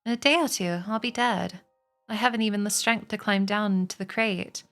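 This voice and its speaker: A woman reading a storybook aloud and voicing a calm, dying female spider who speaks softly but firmly. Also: mid-range voice, moderate pace, faint music behind, close to an everyday voice. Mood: gentle. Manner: soft and composed.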